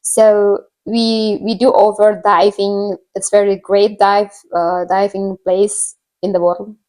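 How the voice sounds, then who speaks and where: A woman's voice: mid-range voice, flat delivery, takes it slowly, warm tone, rough recording. A woman from Indonesia with an Indonesian accent tells a personal story to viewers casually, often searching for words and repeating herself. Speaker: vlogger.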